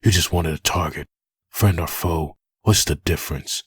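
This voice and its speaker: A man playing a vengeful undead antihero in a comic dub, raspy, bitter and accusing. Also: deep voice, normal speed, fairly bright tone, no room sound, clean studio sound, exaggerated voice. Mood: bitter, taunting. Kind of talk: fan comic dub acting.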